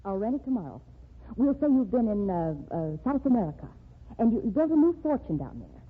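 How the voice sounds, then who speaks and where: A brisk, insistent woman writer speaks in a somewhat high, husky voice. The sound is thin and tinny, like an old radio broadcast. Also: very animated. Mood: persuasive. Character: determined woman writer, devoted schemer. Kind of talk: 1940s radio drama acting.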